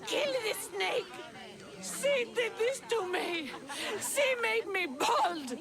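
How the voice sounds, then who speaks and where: A vain, wronged young woman in a video game, with a very high, shrill voice. She pleads melodramatically at a slow pace. A crowd murmurs behind her. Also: expressive, creaky, full character voice. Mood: outraged. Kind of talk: video game cutscene acting.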